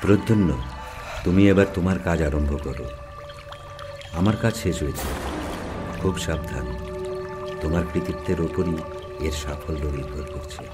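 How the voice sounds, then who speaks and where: A man's voice: extremely low pitch, moderate pace, pauses often, loud music behind the voice. A man playing a mysterious middle-aged tantric musician in an audio story, grave and commanding, speaking with solemn deliberation. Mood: grave, cautioning. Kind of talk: dramatized audio story acting.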